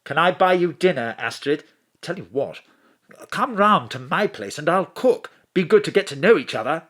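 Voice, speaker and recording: A man playing a cool, smooth political adviser with a dry, flirtatious manner in an audio drama. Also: on the high side, very animated, normal speed, a bit bright. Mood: suave.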